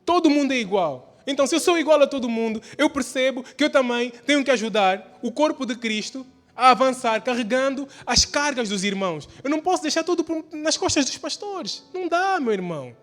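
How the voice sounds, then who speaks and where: Guy preaching in a big echoey church hall, pretty high voice, keeps urging with questions and repeats. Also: expressive, fairly quick, hardly any pauses, a bit bright, soft background music. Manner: exhorting, repetitive, rhetorical questions. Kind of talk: church sermon. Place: large church hall during a service. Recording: reverberant hall sound.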